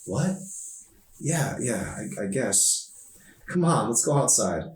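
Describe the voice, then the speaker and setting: A man's voice: mid-range voice, very animated, slow pace, pitched above the performer's own voice. Man reading as an uneasy teenage boy, roomy echo. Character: anxious, defensive, awkward teenage boy. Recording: echoey room, distant mic.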